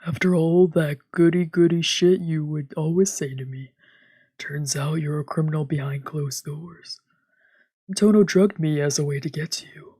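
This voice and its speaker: A woman plays a defiant young man from a visual novel. The delivery is blunt and accusing, in a husky, breathy voice with a dry sound. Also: deep voice, normal speed, dark tone, no room sound. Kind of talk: reading visual novel dialogue aloud.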